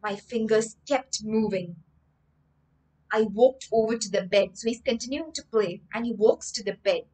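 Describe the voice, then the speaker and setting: A woman's voice: fairly high pitch, normal speed. A young woman, reading a story aloud on a livestream and explaining vocabulary expressively, in a room at home. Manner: expressive, emphatic reading with frequent word explanations. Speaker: teacher, storyteller. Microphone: webcam framing, livestream.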